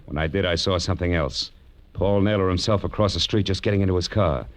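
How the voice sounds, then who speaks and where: An actor playing a wry, tough private detective narrating his case in clipped, deadpan 1940s radio drama style. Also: sits low, fast, lightly acted. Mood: wry, tense narration. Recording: vintage radio recording with music behind.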